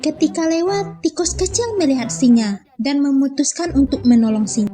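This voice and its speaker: A young woman from Indonesia, a student, calmly narrating a children's fable. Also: high-pitched, moderate pace, faint music behind. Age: young adult. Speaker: student storyteller. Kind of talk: scripted children's story narration.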